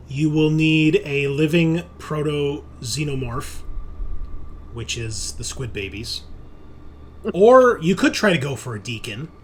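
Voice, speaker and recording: A man's voice: on the high side, slight background noise. A man narrating a tabletop sci-fi horror game as game master, in a casual, sweary way.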